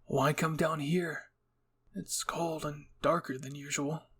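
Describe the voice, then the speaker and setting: Lonely anime teen boy, voiced by a man: soft, hesitant, tender, slightly gravelly. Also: a little high, little pitch movement, slow pace, exaggerated voice. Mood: hushed, sleepy. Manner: hushed, hesitant, soft-spoken. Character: gentle, lonely teenage boy, sleepy and tender. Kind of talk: anime fan-fiction ASMR roleplay.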